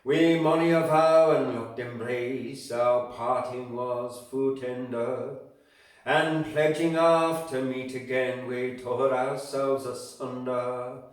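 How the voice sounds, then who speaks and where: A man putting on a Scottish accent to recite verse as a grieving lover, slow and declaiming. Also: medium pitch, fairly even intonation, close to an everyday voice. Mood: mournful tender. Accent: Scottish, put on. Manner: slow, declaiming, raised and ringing. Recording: very echoey room, mic far off.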